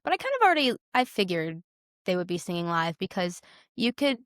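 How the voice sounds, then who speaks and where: Young female co-host, effusive and emphatic, clean smooth tone, dry close sound, casual film chat. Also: fairly low pitch, very animated, a little fast, a bit bright, dry recording. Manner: effusive, emphatic, repeats words. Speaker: podcast co-host. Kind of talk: casual chat about films.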